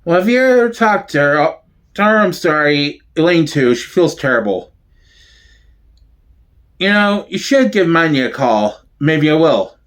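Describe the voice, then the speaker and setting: A man's voice: on the high side, animated, unhurried, fairly bright tone. A man giving a theatrical one-man dramatic reading of sitcom dialogue, switching between characters.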